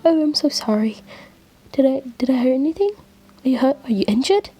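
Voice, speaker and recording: A woman voicing a gentle, fussy male angel in a fan roleplay, with breathy, genteel, fretful endearments. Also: a little high, animated, a little slow, voice pushed up, heavily acted. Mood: flustered, worried.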